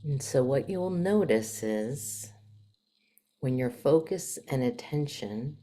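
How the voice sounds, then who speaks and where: A woman leading a guided meditation in a soft, gentle manner, with long pauses and some breathiness. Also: a bass voice, lively intonation.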